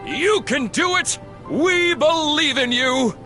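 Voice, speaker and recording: Excitable, high-pitched cartoon hash brown. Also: male voice, slow, exaggerated voice. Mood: excited, alarmed. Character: eager hash brown sidekick actor, excitable and easily flustered. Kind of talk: children's cartoon game voice acting. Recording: music behind the voice.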